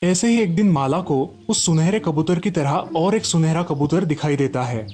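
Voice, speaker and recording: A man's voice: on the high side, expressive, normal speed, warm tone. A man narrating a scripted children's story as the storyteller.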